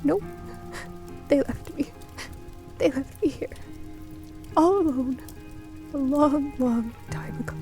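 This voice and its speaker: A woman's voice: high-pitched, takes it slowly, long pauses, over music, pitched above the performer's own voice. A woman voicing a grieving mother in an audio drama, speaking with sobbing, emotional delivery. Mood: sobbing, forlorn. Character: grieving mother, anguished then tearfully hopeful.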